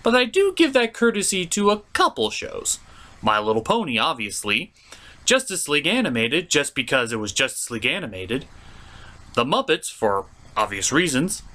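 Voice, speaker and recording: A man's voice: a little high, sing-song, moderate pace, bright, forceful tone. A man hosting an informal, opinionated comedic review, giving scripted commentary on a cartoon with exaggerated emphasis. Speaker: host of a cartoon review show.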